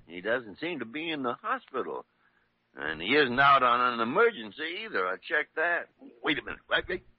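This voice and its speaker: An actor with an American accent playing a crusty, blustering old senior doctor in an old radio drama, grumbling and indignant. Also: male voice, medium pitch, sing-song, moderate pace, no room sound. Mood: brisk, curious. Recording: dull, noisy old radio broadcast recording.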